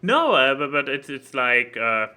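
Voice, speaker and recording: A man's voice: mid-range voice, big pitch swings, a little slow, sharp tone, clear, resonant tone. A man co-hosting a casual podcast over an online voice call, with a jokey, irreverent manner. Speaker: podcast co-host.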